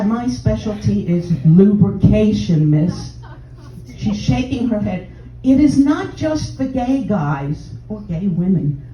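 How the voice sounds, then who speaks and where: An older woman with a Texan drawl delivers a bawdy stand-up bit, pitching her act like a saleswoman and singling out listeners. Her voice is mellow and dark, heard from a distance in a roomy, echoing venue. The sound is dull and muffled, with a faint hum of noise behind her. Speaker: stand-up comedian in a sex-doctor persona. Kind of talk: stand-up routine, addressing audience members.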